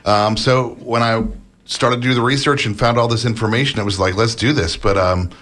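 A man's voice: medium pitch, steady tone, fairly quick, fairly bright tone. A man answering interview questions as an author and researcher, conversational and somewhat hesitant as he thinks aloud. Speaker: author guest, food history researcher.

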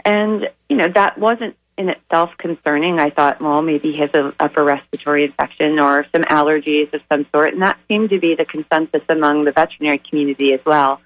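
Raspy, hoarse woman guest, earnest storyteller; dry, muffled phone sound. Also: low voice, fairly even intonation, fairly quick, few pauses, dry recording. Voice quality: raspy, slightly crackly. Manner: earnest, storytelling with many ums. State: hoarse from a weekend of events. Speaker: guest, animal charity founder. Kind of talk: radio interview.

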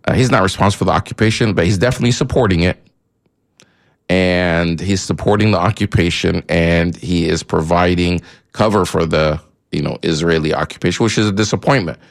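Deep gravelly-voiced Egyptian-born man hosting radio. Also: a little fast. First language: Arabic. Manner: rambling, many fillers and restarts. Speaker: radio show host. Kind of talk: call-in radio talk.

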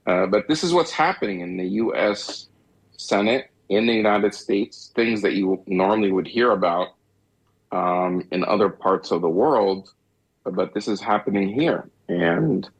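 A man's voice: lowish voice, a little slow, slightly rough, rough recording. A man from Egypt co-hosting a talk radio show, discussing politics in a rambling way with frequent restarts and wry chuckles.

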